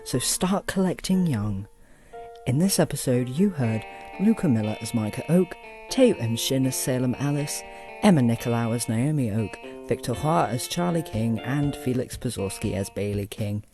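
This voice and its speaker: A man reads scripted show credits. His voice is mellow and middle-pitched. Soft guitar music plays faintly behind him. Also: lively intonation, normal speed. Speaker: credits reader. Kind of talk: scripted announcement.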